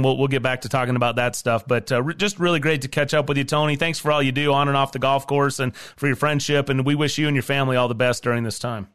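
Brief: man, radio host, slightly high smooth voice, dry studio, upbeat banter. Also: fairly bright tone, no room sound. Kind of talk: sports radio interview.

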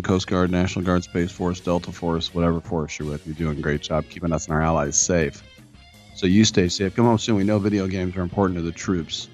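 A man hosting a live radio talk show, chatty and rambling, with a raspy voice today. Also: deep voice.

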